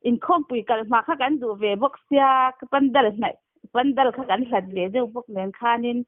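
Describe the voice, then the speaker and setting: A woman's voice: fairly high pitch, brisk, dry recording. A woman chatting casually on a phone call, heard over a tinny, narrow telephone line. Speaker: phone caller.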